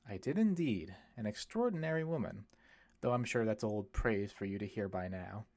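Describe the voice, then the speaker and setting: A man voicing a genial older priest, a wry former hippie who talks in gently eloquent, wordy phrases for an audiobook. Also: fairly low pitch, animated, normal speed. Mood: gentle, reflective.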